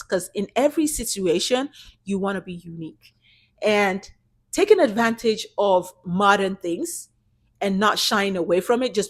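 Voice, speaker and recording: Encouraging woman coaching her viewers. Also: medium pitch. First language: not English. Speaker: YouTube channel host giving business grant tips. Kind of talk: casual tutorial talk to camera.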